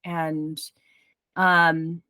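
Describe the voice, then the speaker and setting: A woman in her thirties from Singapore, a music journalist talking as a guest in a casual interview, animated and chatty with lots of "like" fillers. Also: deep voice, extremely slow, smooth, no echo.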